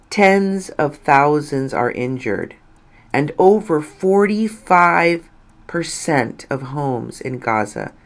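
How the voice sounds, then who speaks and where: A woman reading a written article aloud as scripted narration, in a measured, deliberate way with pauses between phrases. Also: low-pitched. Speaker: reader of an article.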